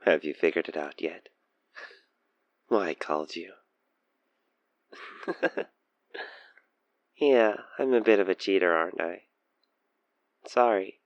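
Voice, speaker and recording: A man acting an obsessed, possessive stalker killer in an audio roleplay, teasing, coaxing and menacing, with breathy, intimate delivery. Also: sits low, lively intonation, slow, pauses often, dry recording, dull audio. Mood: taunting, playful menace. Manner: theatrical, teasing, intimate, dropping into breathy near-whispers. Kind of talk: audio roleplay monologue spoken to the listener over a phone call.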